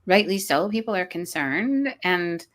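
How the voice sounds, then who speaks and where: A woman guest talking earnestly in an interview, somewhat low in pitch. She restarts and repeats words often, and her voice sometimes lifts into a laugh. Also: lively intonation, unhurried, fairly bright tone. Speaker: guest, college professor. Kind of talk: conversational interview.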